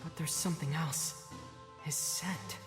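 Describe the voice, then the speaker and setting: A man's voice: a little high, steady tone, unhurried, music in the background, close to an everyday voice. A man voicing a kind-hearted teenage boy swordsman in an anime game, sincere and earnest. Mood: earnest, reflective. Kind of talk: anime game voice acting, reflective narration.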